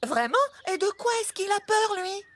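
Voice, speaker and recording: A woman's voice: extremely high pitch, lively intonation, normal speed, slight background noise. A woman voicing a playful, pushy little kid vehicle, mischievous and eager, in a children's cartoon dub. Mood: eager, teasing.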